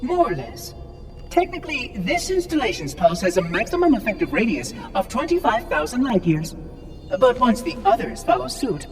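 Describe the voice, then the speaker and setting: A man's voice: very high voice, far from the mic, heavily acted. A man voicing a fussy, officious ancient floating machine caretaker in a video game, prim, formal and theatrical, with precise enunciation. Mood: matter-of-fact, cheerful. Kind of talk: video game cutscene acting.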